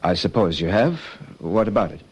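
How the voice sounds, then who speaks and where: An actor playing an evasive, defensive hotel owner under questioning, in an old radio detective drama. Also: male voice, deep voice, animated, normal speed, husky, dull audio. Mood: guarded, irritable. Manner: defensive, indignant, hedging.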